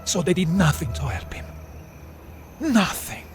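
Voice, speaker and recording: Grave resistance fighter. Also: male voice, sits high, very animated, unhurried, faint music behind. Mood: bitter, hushed. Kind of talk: cinematic military game acting.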